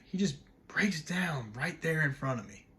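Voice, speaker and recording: A man's voice: a little high, lively intonation, sharp tone. Man acting a wistful storyteller, dramatic monologue. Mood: wistful, hushed. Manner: measured, reflective storytelling in short phrases. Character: wistful man haunted by a childhood night of love.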